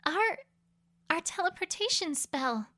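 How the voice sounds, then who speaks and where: A woman's voice: very high-pitched, big pitch swings, unhurried, bright, forceful tone, full character voice. A woman voicing an anxious, earnest young female unicorn in a fan audio story, guilty and emotive. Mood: uneasy. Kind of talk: acted audio story dialogue.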